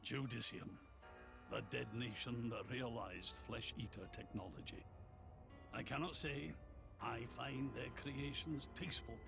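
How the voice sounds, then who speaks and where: A man playing a stern, brooding military commander in a video game, cold, measured and formal. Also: mid-range voice, creaky, over music. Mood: brooding disdain. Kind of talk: video game cutscene acting.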